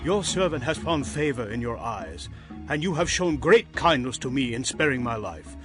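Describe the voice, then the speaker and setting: A man's voice: mid-range voice, lively intonation, moderate pace, close to an everyday voice. An actor playing an anxious, humble family man in a scripture audio drama, earnest and imploring. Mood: fearful pleading. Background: background music.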